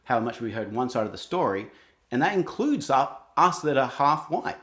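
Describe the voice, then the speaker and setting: A man's voice: medium pitch, big pitch swings, a bit bright. A young adult man with an Australian accent, speaking earnestly in an interview, often restarting his sentences. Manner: earnest, halting, many restarts. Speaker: guest, singer-songwriter. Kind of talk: reflective interview talk.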